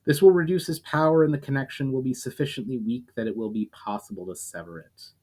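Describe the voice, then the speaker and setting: A man's voice: medium pitch, fast talker, a little mellow, close to an everyday voice. A man voicing a resolute male leader who explains firmly and formally, patient but weary of repeating himself.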